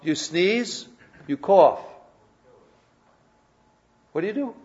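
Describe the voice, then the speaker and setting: A high-pitched male pastor preaches with earnest repetition, stumbling over restarts and tossing questions to his listeners. The recording is dull and lo-fi, like an old church tape. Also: lively intonation, extremely slow, darkish tone. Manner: repetitive stammering restarts, conversational questions to the congregation. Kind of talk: sermon.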